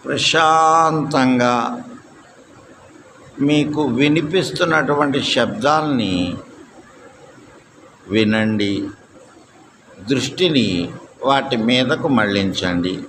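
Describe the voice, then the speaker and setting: A man guiding a meditation as a yoga instructor, calm and deliberate, leaving long pauses, with steady noise in the recording. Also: mid-range voice, rough recording. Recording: clear steady background noise.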